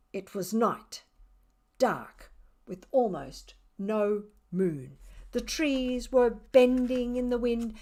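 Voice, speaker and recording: A woman voicing a mischievous, sarcastic, self-satisfied male cat who tells his story theatrically, with dramatic pauses. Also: on the high side.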